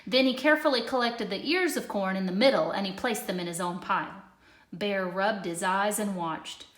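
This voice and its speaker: A woman narrating a children's storybook in an expressive read-aloud style. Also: mid-range voice, moderate pace. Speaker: storybook reader. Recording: echoey room.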